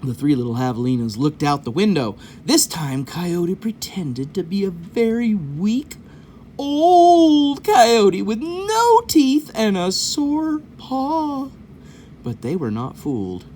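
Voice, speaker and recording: A woman's voice: mid-range voice, very animated, takes it slowly, warm tone, a little hiss or hum. A woman reading a children's book aloud expressively, breaking off for informal, explanatory asides to young listeners. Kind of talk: expressive storytelling with chatty asides.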